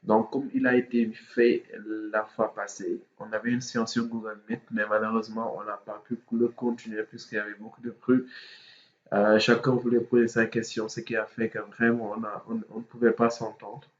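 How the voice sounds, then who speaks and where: A man's voice: on the low side, flat delivery, normal speed, echoey room, highs cut off. A man with a cold, speaking, explaining university procedures to students in an informal, hesitant way with many fillers. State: has a cold, congested voice. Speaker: student mentor.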